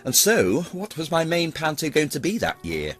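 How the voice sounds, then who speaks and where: A man's voice: on the high side, huge pitch swings, normal speed, a bit bright, faint music behind. A middle-aged British man giving a scripted, fond and theatrical account of a life on stage, with ornate phrasing. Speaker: pantomime performer narrating a career retrospective. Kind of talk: scripted autobiographical narration.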